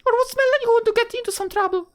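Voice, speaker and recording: A woman's voice: very high-pitched, very fast, pitched above the performer's own voice. A woman voicing a flustered, caring teenage schoolgirl in a visual novel, stammering and twitchy, with music behind her. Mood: hazy, hesitant. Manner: stammering, twitchy when embarrassed. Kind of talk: visual novel character dialogue.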